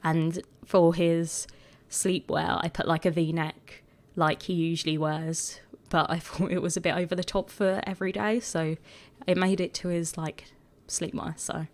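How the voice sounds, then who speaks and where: A woman with a croaky voice, chatting casually and rambling a bit as she talks over her video. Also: fairly low pitch, little pitch movement, unhurried. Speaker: gaming video creator.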